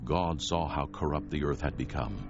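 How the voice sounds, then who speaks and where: A man narrating dramatized scripture as a solemn, measured storyteller. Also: very deep voice. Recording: dull sound with music underneath. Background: music.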